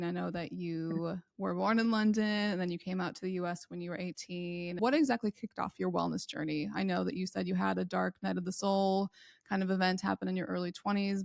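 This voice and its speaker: A woman hosting a podcast, chatty and full of enthusiasm as she introduces her guest and asks her questions. Her voice sits a little low and carries plenty of gravelly fry. The sound is close and dry. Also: darkish tone, dry recording. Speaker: podcast host.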